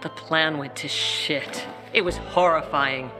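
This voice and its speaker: An actor voicing a gruff, impatient commander. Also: medium pitch, steady tone, slow pace, over music, natural voice. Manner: gruff, curt. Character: tough commander of peacekeepers, impatient.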